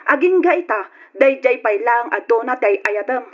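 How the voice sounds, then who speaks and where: A nagging, wounded wife in an Ilocano radio serial. Her voice sits high as she delivers accusing lines. The recording is dull and lo-fi, like old radio. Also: animated, normal speed. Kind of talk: Ilocano radio drama acting.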